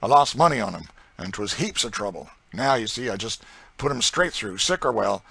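A man voicing a brutal, boastful planter with a rural Southern accent, loud and swaggering. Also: on the low side, big pitch swings, normal speed, gravelly, breathy edge, no room sound, voice pushed up, exaggerated voice. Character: brutal boastful male slave-driving planter.

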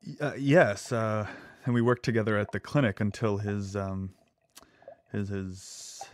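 Man playing an earnest, low-voiced, nervous lab assistant. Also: fairly even intonation, unhurried, lightly acted. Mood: earnest, hesitant. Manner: hesitant, stammering, earnest. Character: nervous, earnest, devoted male lab assistant to a mad scientist. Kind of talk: audio drama acting.

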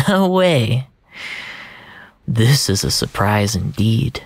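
A storyteller narrating first-person literary fiction in a deliberate, brooding manner, with phrases that sink into a hushed breath. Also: mid-range voice, very animated, vocal fry, breathy edge, dry recording.

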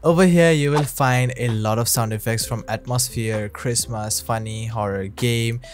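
A man narrating a scripted software tutorial as an upbeat, instructional YouTube host who addresses viewers directly. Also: mid-range voice, normal speed. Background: background music.

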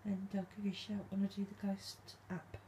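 A woman vlogging at night from her dark bedroom, speaking softly and calling out to spirits, her voice a little stuffed up from hay fever. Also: medium pitch, monotone, fairly quick, slight background noise. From England. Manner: hushed, soft-spoken, calling out to spirits. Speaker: paranormal vlogger. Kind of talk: quiet late-night ghost hunting vlog.

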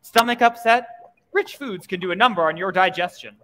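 A man's voice: high voice, brisk, bright, forceful tone. An American man announcing in a projected, declamatory 1940s radio style from a stage. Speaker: radio show performer and announcer. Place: stage in a museum event hall. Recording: reverberant hall stage sound.

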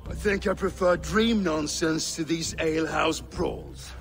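An actor playing a scornful, bullying middle-aged Danish steward in a video game, with a theatrical, derisive manner. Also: male voice, fairly high pitch, measured pace, gravelly, soft background music. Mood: wry. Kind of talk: video game cutscene acting.

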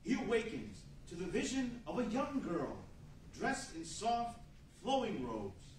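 High-pitched male narrator, very echoey room. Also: huge pitch swings, unhurried. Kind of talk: scripted 1940s radio play narration. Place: large indoor meeting room, live stage reading. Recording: very echoey room, distant mic.

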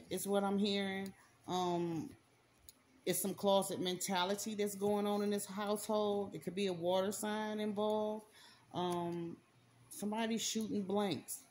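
A woman's voice: on the low side, darkish tone, slight background noise. A woman doing a casual spiritual card reading, talking straight to the viewer, repeating herself for emphasis and using slang. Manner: repetitive, emphatic, slangy, talks to viewer directly. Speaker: spiritual reader. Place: indoors at a table, hands and cards on camera.

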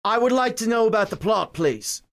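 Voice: medium pitch, animated, moderate pace, fairly bright tone. A performer playing an earnest, clueless young hero in an improvised comic film dub, awkward and blurting. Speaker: improv fandub voice actor.

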